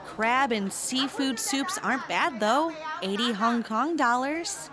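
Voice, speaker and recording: Actress as excitable curious young woman, high bouncy voice, casual audio drama, busy crowd behind. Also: slow. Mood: lively. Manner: enthusiastic, casual.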